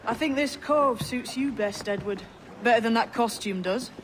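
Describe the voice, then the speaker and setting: A woman's voice: fairly high pitch, moderate pace, a little hiss or hum, lightly acted. A woman playing a shrewd, guarded young pirate in disguise in a video game, plain-spoken and colloquial. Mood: wry, challenging. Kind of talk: dramatic video game acting.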